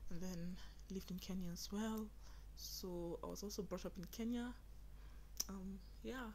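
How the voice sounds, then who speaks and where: Young Nigerian woman chatting to camera. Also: medium pitch. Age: young adult. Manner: chatty, earnest, speaks straight to viewers. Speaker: vlogger. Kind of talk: casual get-to-know-me vlog. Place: small bedroom indoors.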